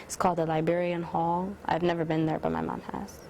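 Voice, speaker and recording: A teenage girl answering documentary interview questions, soft-spoken and hesitant, with frequent fillers. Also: on the low side, completely flat delivery, brisk, a little hiss or hum. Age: teens. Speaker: student interviewee.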